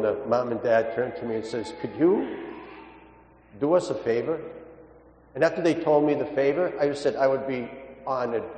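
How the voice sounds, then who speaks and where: Priest preaching in an echoing hall. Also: male voice, medium pitch, darkish tone. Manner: storytelling, halting with repeated words, emphatic on key points. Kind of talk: sermon with anecdotes and gospel teaching. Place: large reverberant indoor space. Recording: echoey, reverberant church-like hall.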